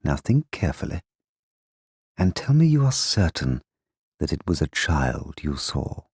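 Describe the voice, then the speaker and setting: An actor playing a condescending man who questions people in a measured, persuasive way, in an audiobook drama. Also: rumbling low, very animated, darkish tone, husky, no room sound, clean studio sound, full character voice. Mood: coolly doubting.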